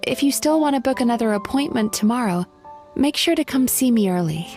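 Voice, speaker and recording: A woman voicing a calm, reassuring psychologist spirit who eats dreams, in a video game. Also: fairly high pitch. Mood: gentle. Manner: soft, measured and reassuring. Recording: faint game music behind.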